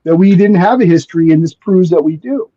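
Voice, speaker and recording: A man's voice: sits high, lively intonation, fairly quick, no echo. A man whose first language is Spanish, chatting casually in a laid-back, slangy way, often repeating words as he feels for the thought. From near Mexico, spent most of life in Mexico. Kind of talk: casual spiritual conversation. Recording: dull lo-fi sound.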